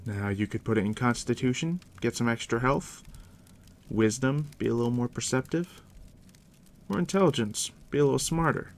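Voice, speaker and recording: A man doing a soft, deep-whispered ASMR roleplay as a game master, unhurried and reassuring, speaking directly to the listener up close. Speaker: game master guiding a new player.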